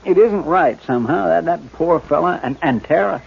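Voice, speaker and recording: An actor playing an earnest, soft-hearted loyal deputy in a 1950s radio drama, stammering and deferential. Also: male voice, fairly high pitch, animated, normal speed, gravelly, breathy edge, exaggerated voice. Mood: pleading. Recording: dull 1950s radio broadcast.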